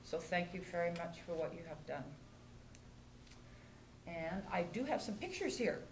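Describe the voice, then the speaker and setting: A woman's voice: on the low side, expressive, normal speed. An older American woman, a mayor chairing a public meeting and making informal remarks. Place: indoor council meeting room. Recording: echoey meeting room with background noise.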